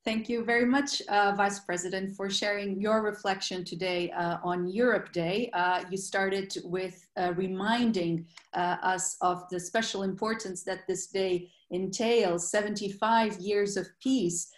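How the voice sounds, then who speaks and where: A woman's voice: medium pitch, steady tone, measured pace, few pauses. A woman moderating a formal online event, polite and using many filler sounds. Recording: roomy room sound.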